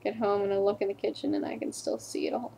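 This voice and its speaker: A woman performing a dramatic stage monologue as a wounded, ashamed teenage girl, in a confiding, halting, subdued way. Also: medium pitch, level tone, mellow, slight background noise, voice pushed down, lightly acted, poor audio. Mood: haunted, bitter.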